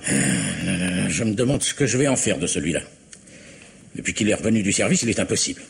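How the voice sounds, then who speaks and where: A man's voice: fairly low pitch, animated, quick pace, gravelly, a little hiss or hum. An actor playing an impatient, bossy middle-aged husband and father in a French radio mystery play, fussy and exclamatory. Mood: grumbling, confiding.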